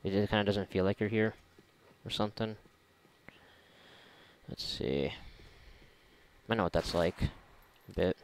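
A man's voice: lowish voice, unhurried, long pauses, slight background noise. A man giving casual, sarcastic, profanity-laced gameplay commentary, often muttering to himself. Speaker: gamer doing a playthrough.

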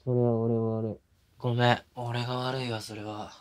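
A man's voice: mid-range voice, little pitch movement, moderate pace, a little mellow, lightly acted. A man playing a smitten, apologetic young boyfriend in an intimate ASMR voice drama, speaking casually and tenderly. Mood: hurt, apologetic.

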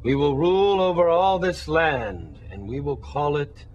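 A man voicing a grandiose conquering leader, proclaiming pompously in a husky voice, as if in toy play. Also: on the high side, big pitch swings, a little slow, highs cut off, slight background noise. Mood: grandly proclaiming.

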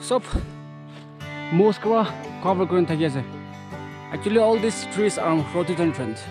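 A man's voice: high voice, animated, moderate pace, music in the background. A young man from India narrating a hike outdoors in a mountain forest, talking to a handheld action camera as he walks and points things out. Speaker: hiking vlogger. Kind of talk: casual narration while hiking. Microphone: action camera held at arm's length.

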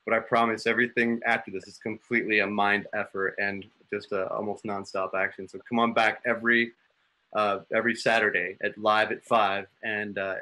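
Bright, forceful man chatting informally. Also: fairly low pitch, poor audio. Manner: informal, rambling. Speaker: writer and actor. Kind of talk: casual chat. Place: small room on video call.

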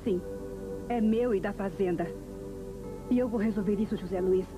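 An actress with a Brazilian accent playing a determined, idealistic young landowner in a dubbed telenovela. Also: female voice, mid-range voice, moderate pace, music in the background, natural voice. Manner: firm, stubborn. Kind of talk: dubbed 1970s telenovela acting.

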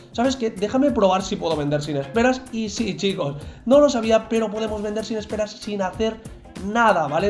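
A man's voice: high-pitched, a little mellow. A young man from narrating a game tutorial over gameplay, chatty and constantly checking in with viewers. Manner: chatty, repeats "¿vale?" and "chicos" constantly. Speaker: gaming youtuber.

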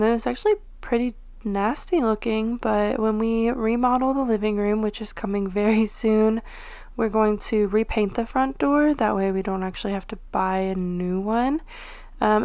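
A woman's voice: mid-range voice, vocal fry, darkish tone, dry recording. A young woman narrating her home cleaning vlog in a chatty voice-over, with frequent ums and small laughs. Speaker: cleaning vlogger.

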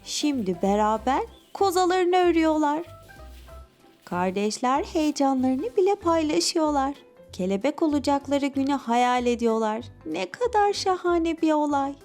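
A woman's voice: high-pitched, animated, unhurried, darkish tone, faint music behind. A woman narrating a scripted children's story expressively, speaking directly to young listeners.

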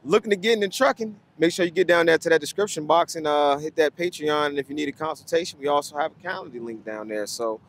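A man's voice: fairly high pitch, fast talker, vocal fry, hardly any pauses. A man hosting a video, talking casually to his viewers. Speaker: host.

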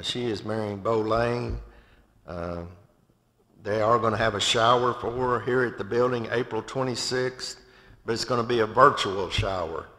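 An older man with a low, gravelly voice gives church announcements and leads a prayer, stopping and restarting often as he goes. He speaks at a lectern in an empty sanctuary. A long hall reverb carries his voice through the big room. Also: sharp tone. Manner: halting, with many restarts and fillers. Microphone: far camera from above, speaking at a lectern.

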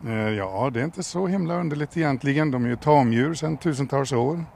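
An older man who runs a camel ranch, talking in an easygoing, halting way outdoors. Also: medium pitch, gravelly, a little hiss or hum. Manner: relaxed, hesitant with many pauses and chuckles. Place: outdoors in a field. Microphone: handheld mic held by interviewer.

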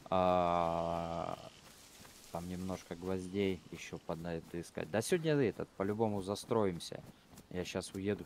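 Very low-voiced man, casual gamer chat, crude and rambling, light game noise. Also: darkish tone. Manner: blunt, swears freely, rambling.

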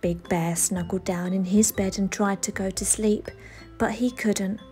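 A woman storyteller reading a children's bedtime story aloud, expressive, with dramatic emphasis. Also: medium pitch, measured pace, faint music behind. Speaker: storyteller, channel host.

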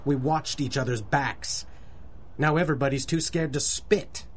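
A man's voice: medium pitch, normal speed, husky, natural voice. Man playing a blustering old street racketeer. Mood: belligerent. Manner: blustering, confrontational. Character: belligerent old male neighbourhood racketeer. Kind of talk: 1930s radio drama acting.

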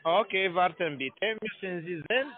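A man who is not a native German speaker, phoning from a call center, speaking halting broken German and repeating words. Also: sits high. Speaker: scam call center caller. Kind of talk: phone call. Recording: tinny phone line.

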